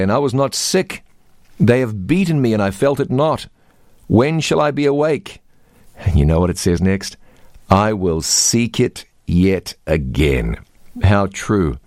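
A man's voice: fairly low pitch, darkish tone, dry recording, studio quality. A man hosting a radio Bible question show, speaking in an earnest, emphatic preaching manner.

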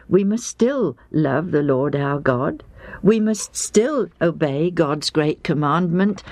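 A woman's voice: fairly low pitch, a little mellow, no echo. A woman playing a solemn devout father. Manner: solemn, earnest, repeats "and no mistake". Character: weary devout father, middle-aged man.

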